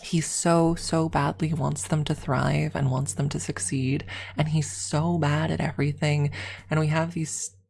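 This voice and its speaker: A woman's voice: low voice, little pitch movement, a little slow, gravelly, a little mellow. A woman streamer rambling casually to her viewers on an ASMR livestream, talking in a gushing, hyperbolic fan's manner. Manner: gushing, hyperbolic fan talk. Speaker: streamer answering viewer chat.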